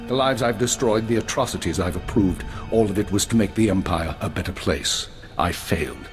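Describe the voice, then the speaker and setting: An actor playing a weary, regretful older intelligence minister in a video game, speaking in a measured, formal and authoritative way. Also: male voice, on the low side, natural voice. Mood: remorseful. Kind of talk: scripted dramatic game acting. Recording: orchestral music behind.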